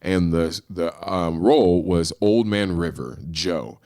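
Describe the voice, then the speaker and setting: Middle-aged male guest, very low voice, animated storyteller who acts out quotes. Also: takes it slowly. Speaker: guest, screenwriter and actor. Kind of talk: casual storytelling in a podcast interview.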